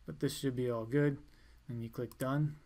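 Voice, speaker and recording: A man giving an informal step-by-step software tutorial in a plain, instructional way. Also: medium pitch, fairly even intonation, moderate pace. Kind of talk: step-by-step screencast walkthrough, informal.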